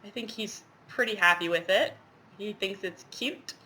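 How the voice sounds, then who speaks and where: Congested, chatty woman with a bright voice. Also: medium pitch, normal speed, rough recording. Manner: rambling, lots of fillers and asides. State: congested with a head cold. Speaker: cross-stitch vlogger. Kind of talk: casual show-and-tell chat.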